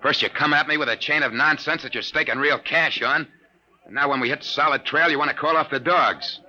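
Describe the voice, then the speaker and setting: An actor playing a tough, blunt private detective in clipped 1950s radio drama style. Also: male voice, a little high, a little fast, pushed and bright. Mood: defiant. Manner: hard-boiled, clipped. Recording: dull old radio drama recording.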